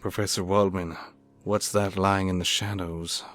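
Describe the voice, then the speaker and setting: A man's voice: low-pitched, flat delivery, unhurried. A man playing a fervent, troubled young scientist in a melodramatic, theatrical radio drama style. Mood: horrified. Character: anguished, fervent young male scientist, recovering from madness. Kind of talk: melodramatic old-time radio acting.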